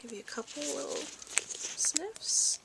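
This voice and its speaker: A woman speaking softly and reassuringly in an ASMR roleplay as a doctor giving a check-up, often slipping into whispers. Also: sits high, big pitch swings, slow pace, creaky, very noisy. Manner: gentle, hushed, reassuring, dropping into whispers. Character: polite research lab doctor giving a check-up. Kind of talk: soft-spoken ASMR medical exam roleplay.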